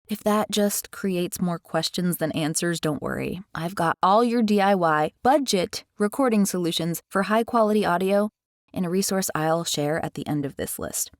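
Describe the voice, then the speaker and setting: A woman's voice: fairly low pitch, normal speed, no echo, studio quality. An American woman hosting a chatty, curious tutorial from her bedroom. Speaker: tutorial host. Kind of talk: casual explainer. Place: carpeted bedroom. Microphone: headset mic.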